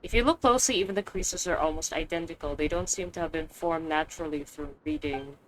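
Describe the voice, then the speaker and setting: A woman's voice: low voice, fast talker, fairly bright tone, slight background noise. A woman streaming a game, chatting casually with slangy reactions and reading dialogue aloud. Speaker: gaming streamer. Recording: game music behind the voice.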